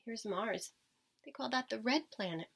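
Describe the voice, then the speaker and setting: A woman's voice: fairly high pitch, expressive, normal speed, gravelly, fairly bright tone, breathy edge. A woman reading a children's storybook aloud like a teacher, expressively, with dramatic emphasis. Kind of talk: expressive children's storybook read-aloud with short asides to listeners.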